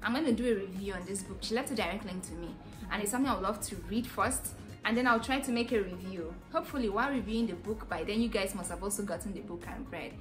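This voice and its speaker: A woman's voice: medium pitch, quick pace, faint music behind. A young woman hosting a casual vlog from a sofa at home, chatting directly to her viewers. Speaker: channel host. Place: living room, seated on a sofa indoors.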